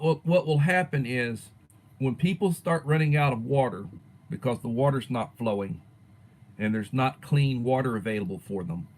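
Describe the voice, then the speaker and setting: A man in his sixties, a teacher chatting casually on his own livestream and answering viewers, rambling and letting his sentences fade softly at the ends. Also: mid-range voice. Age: 60s. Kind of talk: casual livestream chat reading viewer comments.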